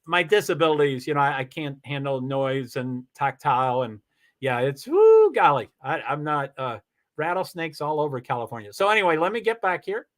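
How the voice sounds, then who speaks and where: A man streaming live from home, chatting casually and rambling excitedly with lots of exclamations and restarts. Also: a little high, normal speed. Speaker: live streamer reading chat. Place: home in Washington State.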